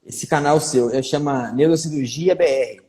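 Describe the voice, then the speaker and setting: Doctor hosting a chatty live stream. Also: male voice, a little high, normal speed, dark tone. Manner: chatty, repeats fillers like "né". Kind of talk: casual live-stream interview. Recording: echoey room with long reverb.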